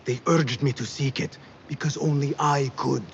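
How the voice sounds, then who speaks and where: An actor playing a defiant, driven young assassin in a video game cutscene, with an intense, dramatic delivery. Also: male voice, medium pitch, fairly even intonation, moderate pace, creaky, muffled recording, a little hiss or hum, close to an everyday voice. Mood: grave, insistent.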